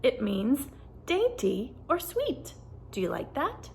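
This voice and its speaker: A woman voicing a gentle, eager young male bell ringer in a storybook, tender and earnest. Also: high voice, huge pitch swings, slow pace, vocal fry, slight background noise, pitched above the performer's own voice, heavily acted. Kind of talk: children's storybook character voice.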